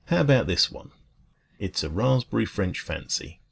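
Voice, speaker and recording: Man voicing a deep, gravelly, gentle dragon baker. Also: big pitch swings, moderate pace, full character voice. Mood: chatty, easygoing. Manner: gentle, rambling, hesitant, earnest enthusiasm about baking. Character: friendly, food-loving dragon café baker, kind and chatty. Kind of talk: ASMR roleplay, soft casual chat.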